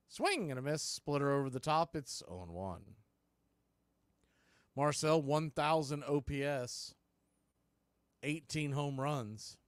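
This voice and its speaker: A man's voice: medium pitch, smooth, dry recording. A man doing live baseball play-by-play as an informal, colloquial announcer who openly roots for his team. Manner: homer fan, informal and colloquial. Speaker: baseball play-by-play announcer for a fan watch party. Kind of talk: live sports play-by-play commentary.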